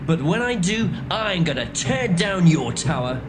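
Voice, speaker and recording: An actor playing Gideon, a stern male Israelite war leader who makes forceful, threatening declarations in a dramatised scripture reading. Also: high voice, sing-song, unhurried, noisy background. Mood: menacing.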